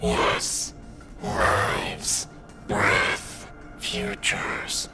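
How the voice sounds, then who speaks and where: A performer voicing a bloodthirsty sea monster in an animated fan dub, with a whispery rasp and halting menace. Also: sits low, heavily acted. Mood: menacing, sinister. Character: menacing, bloodthirsty sea monster villain, breathy and broken-voiced. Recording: loud music over the voice.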